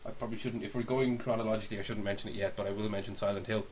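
A man's voice: lowish voice, steady tone, racing. A man co-hosting a podcast, casually discussing films and games in a rambling, opinionated way as he thinks aloud. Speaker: podcast co-host. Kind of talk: casual film and game discussion. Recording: clear background hiss.

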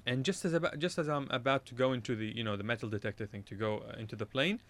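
A man's voice: medium pitch, racing, fairly bright tone. A man from Iraq, a radio show guest, telling a casual anecdote in a rambling way, often correcting himself and laughing at his own story.

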